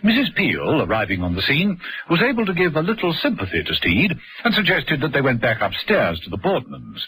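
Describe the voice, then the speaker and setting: A man's voice: fairly high pitch, huge pitch swings, fairly quick, slight background noise, low-quality recording. A man narrating a vintage radio thriller in a dramatic, suspenseful storytelling manner. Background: loud music.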